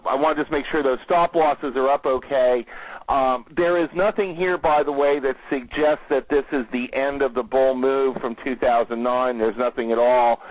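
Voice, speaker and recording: Male market analyst hosting a call; mid-pitched, casual and steady, dry recording. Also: no room sound, rough recording. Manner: conversational, steady, with fillers.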